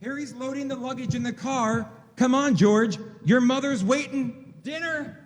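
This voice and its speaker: Man acting a folksy middle-aged uncle, very high pitch, scatterbrained, radio play, echoing hall. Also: normal speed, music in the background. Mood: excited, chatty. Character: scatterbrained folksy fifty-something uncle in small-town America. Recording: echoey hall, long reverb.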